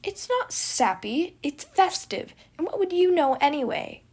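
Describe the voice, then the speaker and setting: A woman's voice: sits high, very animated, unhurried, darkish tone, voice pushed up, full character voice. A woman voicing a proud, theatrical young man who bickers with dramatic, dry retorts in an audio story. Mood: indignant.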